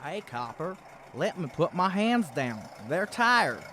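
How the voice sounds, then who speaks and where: A man's voice: sits high, very animated, slow, pushed and bright, full character voice. Man acting a slangy crook over engine noise. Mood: defiant. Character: cornered male crook, grudging, slangy small-time killer. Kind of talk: radio crime drama acting.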